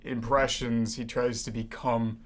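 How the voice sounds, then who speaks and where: Young man bantering casually, mid-pitched voice. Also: little pitch movement, unhurried. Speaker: podcast co-host.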